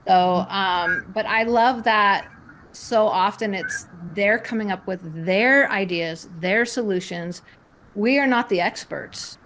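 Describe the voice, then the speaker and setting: A woman's voice: medium pitch, takes it slowly, a bit bright, poor audio. Rambling woman panelist with background noise. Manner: rambling, informal. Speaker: panelist working with clients. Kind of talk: informal panel talk.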